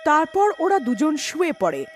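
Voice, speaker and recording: A woman's voice: high-pitched, very animated, normal speed, faint music behind. A woman narrating a scripted cartoon story.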